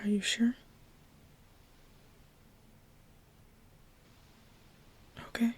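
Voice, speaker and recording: A woman playing a gentle, patient trapped god in an ASMR roleplay, speaking in a breathy, often whispered voice with careful reassurance. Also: close to an everyday voice. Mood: soothing, guiding. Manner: slow, careful, reassuring explaining. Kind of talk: ASMR roleplay, scripted intimate monologue.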